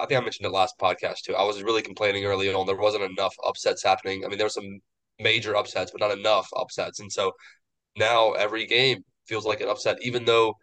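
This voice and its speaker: A man's voice: on the low side, a bit bright, poor audio. A man co-hosting a sports podcast, a bit nasal after a cold, rambling casually. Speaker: podcast co-host.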